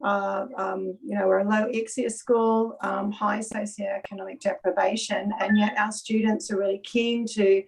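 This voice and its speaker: A woman's voice: medium pitch, level tone, normal speed, gravelly, hardly any pauses, poor audio. An Australian woman teacher speaking in a friendly, earnest way with hesitant fillers in a video call.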